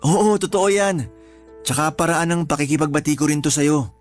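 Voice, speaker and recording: A man's voice: a little high, normal speed, soft background music, close to an everyday voice. A man playing a protective, placating husband and father in a radio drama, speaking earnestly. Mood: placating, tender. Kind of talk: radio drama acting in dialogue.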